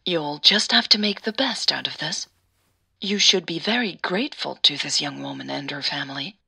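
A woman voicing a calm, patient mother who gently soothes and advises her daughter in an audio drama. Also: sits low, moderate pace, creaky, husky, exaggerated voice. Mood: advising.

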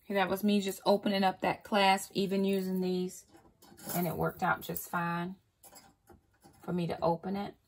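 Chatty woman vlogger with a gravelly edge. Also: mid-range voice, completely flat delivery, normal speed. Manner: chatty, upbeat, says y'all. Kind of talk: casual chat to camera. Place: walk-in closet at home.

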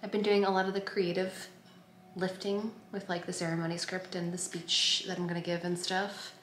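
A woman's voice: on the low side, little pitch movement, moderate pace, a bit bright, slight background noise. Woman vlogging casually in a roomy echo. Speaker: vlog host. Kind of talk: casual vlog chat.

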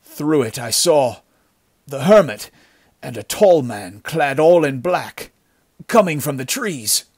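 A man voicing a dying, desperate bandit in halting, strained phrases for an adventure story. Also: medium pitch, animated, breathy edge, no echo, studio quality, voice pushed up. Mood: horrified, failing. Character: mortally wounded bandit, staggering and desperate. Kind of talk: dramatic storybook character acting.

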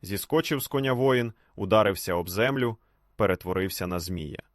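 A man narrating a fairy tale as a storyteller, in an expressive, scripted reading. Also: on the low side, moderate pace, dry recording, clean studio sound.

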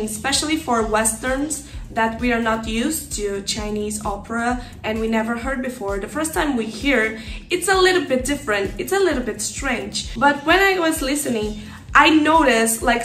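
A young woman chatting casually and excitedly, as a streamer does in a reaction video. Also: fairly high pitch, reverberant. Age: young adult. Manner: excited, gushing. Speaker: YouTuber and streamer. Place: small decorated streaming room at home.

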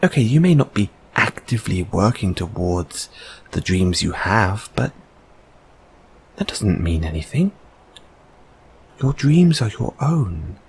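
Guy doing a comforting boyfriend roleplay, soft and encouraging, talks real slow, some noise in the background. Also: on the low side, big pitch swings, full character voice. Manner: soft, halting, reassuring, with tag questions. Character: gentle, encouraging, dreamy boyfriend comforting his partner. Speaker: audio roleplay performer.

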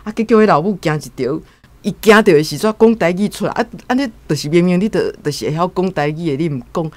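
A woman's voice: on the low side, lively intonation, fast talker, darkish tone, no echo. A woman with a Taiwanese accent casually retelling a story as a guest commentator, acting out quotes.

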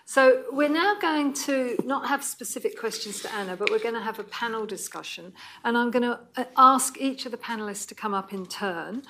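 A woman's voice: a little high, normal speed, few pauses, fairly bright tone, slight background noise. A woman moderating a panel in a university seminar room, informal and friendly as she introduces the speakers. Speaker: event moderator.

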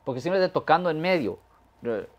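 Talkative California-born lawyer explaining law. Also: male voice, a little high, sing-song, brisk, lo-fi sound. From California, USA. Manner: explains at length, mixes English words into Spanish. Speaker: criminal defense lawyer. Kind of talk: conversational legal explanation.

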